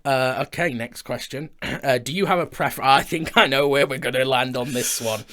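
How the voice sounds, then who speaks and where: Man, British accent, bright forward voice, mid pitch, informal studio conversation. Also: normal speed. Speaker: audio drama writer and actor in a podcast discussion. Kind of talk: casual chat. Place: indoor studio.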